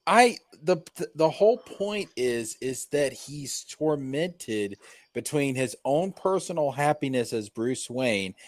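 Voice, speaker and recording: A man's voice: medium pitch, animated, slow pace, no echo. A man debating casually with friends as a panelist, emphatic, exasperated and profane.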